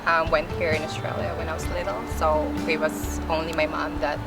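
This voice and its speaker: A woman's voice: low-pitched, flat delivery, brisk, vocal fry. A woman with a Filipino accent gives hesitant interview answers with many "um"s. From Philippines. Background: loud background music.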